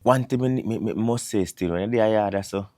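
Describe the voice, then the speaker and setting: Jamaican man, thirties, lowish voice, patois-laced casual talk, dry close sound. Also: normal speed, no echo. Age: 30s. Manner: animated, mixing patois into English. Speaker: vlogger. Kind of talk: casual monologue.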